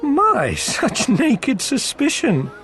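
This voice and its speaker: An actor playing a slyly courteous merchant envoy in a video game cutscene, with florid, unctuous, teasingly polite speech. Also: male voice, very high voice, huge pitch swings, extremely slow, a bit bright, faint music behind, full character voice.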